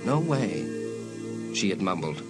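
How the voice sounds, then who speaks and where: Male suspense narrator with animated delivery. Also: low-pitched. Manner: measured, dramatic pauses. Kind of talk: suspenseful dramatised audiobook narration. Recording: loud music behind.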